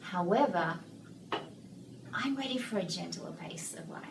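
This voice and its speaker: An older Australian woman, a guest author, talking gently and reflectively in a casual interview, sometimes searching for words. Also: fairly low pitch, animated, unhurried, creaky. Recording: roomy, distant mic with noise.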